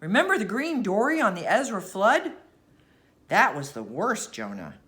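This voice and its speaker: A woman voicing a hot-headed, eager teenage fisherman boy in a storybook, emphatic and quick to protest. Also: mid-range voice, very animated, a bit bright. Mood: eerie recollection. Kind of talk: storybook character voice.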